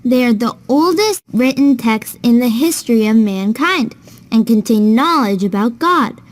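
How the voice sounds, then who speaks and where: A woman's voice: a little high, animated, measured pace. A woman playing a patient, kindly older sister who explains things to a child in a scripted children's educational cartoon.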